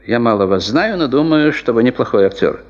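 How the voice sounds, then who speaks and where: An actor in a Soviet radio drama playing a gravely ill veteran geologist, a wry, philosophical man who talks in a measured, resigned way. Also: medium pitch, animated, natural voice. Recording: muffled, long reverberant radio-play sound.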